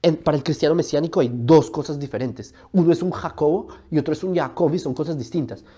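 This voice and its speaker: Emphatic man, mellow, slightly high. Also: fast talker, breathy edge. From Colombia. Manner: emphatic, rhetorical questions, gestures constantly. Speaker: religion video host. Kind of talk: argumentative explainer monologue. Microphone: lapel mic.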